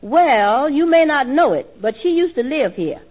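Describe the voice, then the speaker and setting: A woman's voice: high-pitched, big pitch swings, measured pace. An actress playing a prim, indignant lady of the house in a 1940s radio comedy. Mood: guarded. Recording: tinny 1940s radio recording.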